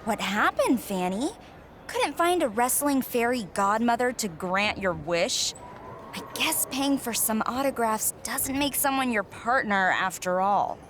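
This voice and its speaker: A woman's voice: on the high side, lively intonation, measured pace, fairly bright tone, slight background noise. An actress playing a scornful, cocky female wrestling rival in a video game cutscene, with a sarcastic, mocking delivery.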